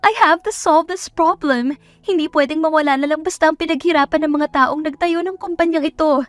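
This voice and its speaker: A female narrator puts on a young businesswoman in a romance audio drama. Her voice is high and emphatic, bold and under strain, switching between English and Tagalog. Music plays behind the close, dry recording. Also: steady tone, brisk, no room sound, lightly acted. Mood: tense, determined. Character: stressed young businesswoman, bold, tipsy when drinking.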